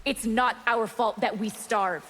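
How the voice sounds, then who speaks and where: A woman's voice: on the high side, unhurried, fairly bright tone, long reverb tail, a little hiss or hum. A woman voicing a proud, hungry, threatening vampire in a video game, defiant and disdainful. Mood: defiant, menacing. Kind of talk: video game fight-intro dialogue.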